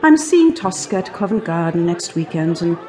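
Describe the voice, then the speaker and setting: A woman's voice: lowish voice, sing-song, normal speed, dark tone, soft background music. An actress playing a witty, sceptical young upper-class lady in a radio drama, arch and teasing in manner. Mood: breezy. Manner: arch, mocking, well-spoken phrasing.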